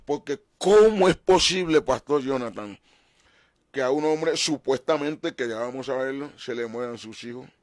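A man's voice: mid-range voice, normal speed, darkish tone, no echo. Emphatic preaching man, dry studio. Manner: emphatic, gestures broadly, dramatic rises in voice. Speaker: pastor and show host. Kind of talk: animated preaching talk show. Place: small studio with painted backdrop. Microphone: desk mic on stand.

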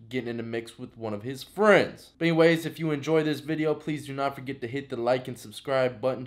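A man in his thirties with an American accent, hosting a show in a casual, slangy, informal way. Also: medium pitch. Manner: informal, slangy, addresses listeners as "man" and "y'all". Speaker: host of a story-time video show. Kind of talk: casual chat. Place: small room with gaming setup at home.

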